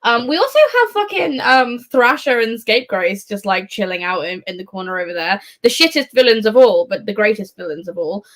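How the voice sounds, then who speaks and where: A woman's voice: medium pitch, a little fast, hardly any pauses, a bit bright. Wry, sweary woman chatting about books. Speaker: book readalong panellist. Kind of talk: casual book discussion, live.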